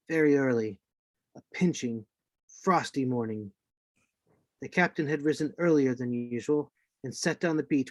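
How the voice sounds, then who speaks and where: A man playing a grave narrator recalling his boyhood adventure, with measured dramatic radio play delivery. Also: medium pitch, no echo, lightly acted. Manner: measured, literary storytelling.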